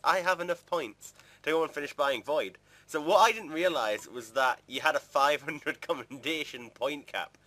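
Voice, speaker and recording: A man's voice: mid-range voice, bright, forceful tone. A man giving casual, chatty gameplay commentary in an informal, rambling way, as a video creator would.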